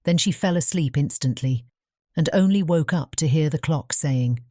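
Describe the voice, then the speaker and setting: A woman narrating a scripted fairy tale audiobook as a measured storyteller. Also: low voice, warm tone, no echo, clean studio sound.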